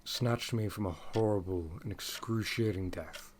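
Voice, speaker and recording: Man as deep-voiced brooding gentleman master. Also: a little hiss or hum, natural voice. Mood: wounded, intense. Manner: intense, commanding, halting formal speech. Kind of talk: gothic audio drama acting.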